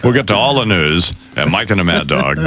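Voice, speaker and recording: A man hosting a morning radio show with loose banter, speaking in a halting, drawling, needling manner. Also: low-pitched, very animated, very noisy. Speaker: radio talk show host.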